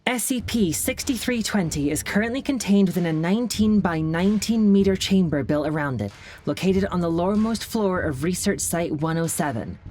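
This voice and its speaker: An actress playing a formal female official reading containment documents in an audio drama, measured and scripted. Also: fairly low pitch, darkish tone, a little hiss or hum, close to an everyday voice.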